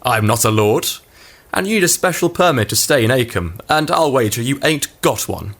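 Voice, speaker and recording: Man playing a haughty historical gatekeeper, medium pitch, very animated, gruff manner, comic radio drama. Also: moderate pace. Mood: suspicious, scornful. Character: officious, suspicious male parish gatekeeper of olden times.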